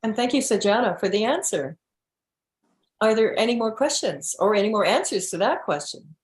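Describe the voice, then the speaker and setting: A woman's voice: mid-range voice, lively intonation, normal speed. Woman moderator, webinar, roomy echo, courteous. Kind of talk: moderating, thanking speakers.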